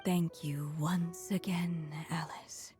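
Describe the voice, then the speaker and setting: Slow, tender dying storyteller. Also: female voice, lowish voice, steady tone, faint music behind. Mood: weary, wistful. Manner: gentle, unhurried, solemn. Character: dying woman storyteller, tender, motherly, wistful. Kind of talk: scripted game voice acting.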